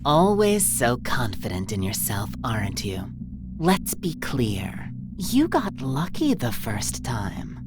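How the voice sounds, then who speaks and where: A woman's voice: very deep voice, wildly swooping pitch, slow, background noise, exaggerated voice. An actress playing a smug, imperious female crime boss in a visual novel game, talking down to people with languid endearments. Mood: taunting. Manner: condescending, purring endearments. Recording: music behind the voice. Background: game music.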